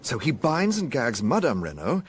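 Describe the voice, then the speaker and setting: An actor playing a detective's impatient, puzzled English gentleman sidekick in a radio drama, with an English accent and an earnest, questioning manner. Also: medium pitch, huge pitch swings, moderate pace, a little hiss or hum.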